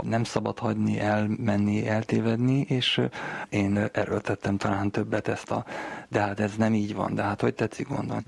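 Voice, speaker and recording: Casting: middle-aged man, lowish raspy voice, reflective interviewee. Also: no echo. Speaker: interviewee, family carer.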